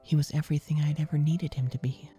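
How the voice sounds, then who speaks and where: A man voicing a delicate, timid young girl in a soft, breathy, earnest way for an audiobook. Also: a little high, little pitch movement, quick pace, soft background music, pitched above the performer's own voice, close to an everyday voice. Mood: tender, bittersweet farewell. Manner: soft, breathy, whispered delivery. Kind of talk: dramatic audiobook character voice.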